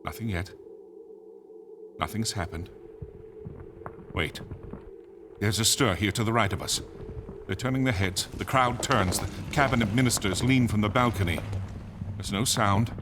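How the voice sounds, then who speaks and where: An actor playing a tense, solemn radio announcer, giving measured, vivid live commentary on a crowded plaza in a verse drama. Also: male voice, on the low side, background noise, natural voice. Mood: hushed suspense. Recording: clear outdoor crowd and street ambience behind.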